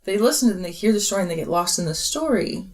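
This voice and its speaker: A woman's voice: medium pitch, animated, quick pace, darkish tone. A woman hosting a casual round-table podcast interview, speaking in a reflective, earnest way. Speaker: podcast host and producer.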